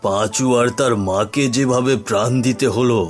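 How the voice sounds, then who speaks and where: A man voicing a resolute village headman in a cartoon, reassuring his people with measured authority. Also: mid-range voice, steady tone, soft background music, natural voice. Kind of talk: cartoon voice acting.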